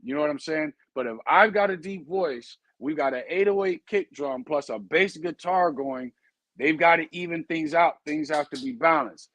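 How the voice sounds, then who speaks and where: A man's voice: on the high side, normal speed, a bit bright, dry recording. A man hosting a casual show, earnestly explaining things with everyday comparisons and checking in with his listeners. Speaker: show host and dog breeder. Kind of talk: casual podcast talk.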